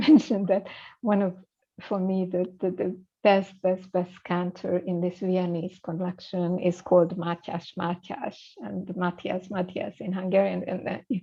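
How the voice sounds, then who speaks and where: Scholarly woman guest, low and fry-edged, hesitant conversational delivery, muffled recording. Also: dark tone. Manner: hesitant, many fillers and restarts. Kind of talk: conversational interview.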